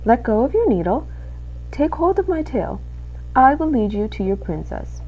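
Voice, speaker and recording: A woman's voice: fairly high pitch, very animated, normal speed, warm tone, a little hiss or hum, voice pushed up, poor audio. A woman voicing a sly, cruel male rat villain in a storybook, falsely courteous and drawing out the words in an insinuating way. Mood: oily, mock-friendly.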